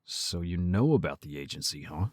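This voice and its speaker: A man plays a protective werewolf brother in an audio drama. His voice is low and husky, recorded dry and close. He talks bluntly and guardedly, gruff but ready to tease. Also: lively intonation, moderate pace, a little mellow, dry recording. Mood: guarded, serious.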